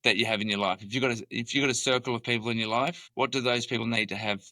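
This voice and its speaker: A man's voice: mid-range voice, fairly even intonation, quick pace, sharp tone, dry recording. A man hosting a casual podcast as the company boss, handing out advice on goals in a coaching way.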